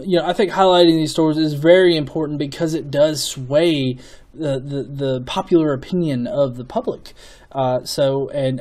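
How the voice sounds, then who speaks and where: A man's voice: on the high side, a little mellow. A young man hosting a casual commentary on viewer comments, rambling and swearing with many stuttered restarts. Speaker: host.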